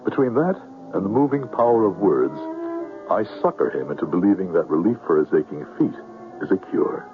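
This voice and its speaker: An actor playing a smooth-talking swindler posing as a reverend, with pompous, florid phrasing in a 1970s radio drama. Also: male voice, low voice, lively intonation, a little fast, over music. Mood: confiding, uneasy. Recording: noisy, narrow-band 1970s radio recording.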